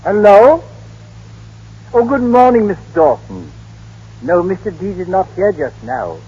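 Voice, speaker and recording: An actor playing a polite, deferential manservant in 1930s radio drama style. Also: male voice, high voice, sing-song, unhurried, slightly rough, full character voice. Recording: muffled, humming old 1930s radio recording.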